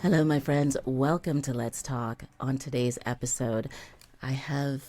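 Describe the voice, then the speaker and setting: A woman's voice: a bass voice, fairly even intonation, measured pace, mellow, soft background music. A woman hosting an interview show, speaking in an effusive, encouraging way with dramatic emphasis. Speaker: podcast host and coach.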